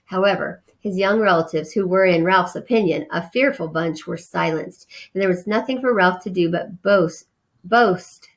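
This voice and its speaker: A woman's voice: lowish voice, normal speed. A woman reading a children's story aloud, informally, with casual asides and simple explanations.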